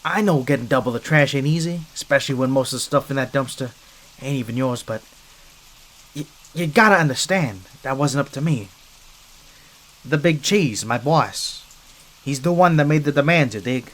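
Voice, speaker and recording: A wisecracking gangster rat, acted out by a man in an ASMR roleplay, with a medium-pitched male voice. He is a scrappy, wounded henchman, wary and chatty, talking in street slang with hesitant little asides. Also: normal speed, fairly bright tone, natural voice. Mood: cajoling, deferential.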